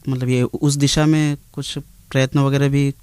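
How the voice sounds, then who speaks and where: A man, a singer, giving plain, halting conversational answers in an interview. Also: medium pitch, level tone, a little mellow, clear, resonant tone, no room sound.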